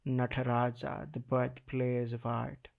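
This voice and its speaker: Slow, gravelly man reciting slam poetry. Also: medium pitch, completely flat delivery, narrow, tinny audio. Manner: dramatic, deliberate, with weighty pauses.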